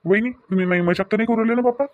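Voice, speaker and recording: A man's voice: sits high, racing, narrow, tinny audio, background noise, close to an everyday voice. A man playing an agitated young newlywed husband in a family drama, repeating himself, with a Varhadi Marathi accent. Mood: worried. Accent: Varhadi Marathi of Vidarbha. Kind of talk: amateur family comedy-drama dialogue.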